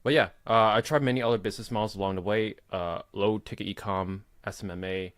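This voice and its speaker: Relaxed young man hosting a chat. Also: lowish voice, fast talker, no room sound. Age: 20s. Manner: relaxed, rambling with fillers and laughs. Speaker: host. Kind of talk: casual live Q&A chat.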